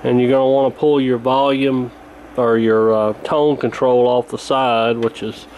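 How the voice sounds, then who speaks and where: A man's voice: medium pitch, level tone, unhurried, lo-fi sound, a little hiss or hum. A man giving a casual, hands-on repair walkthrough in a folksy, colloquial way, thinking aloud as he goes. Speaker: radio repair hobbyist presenting.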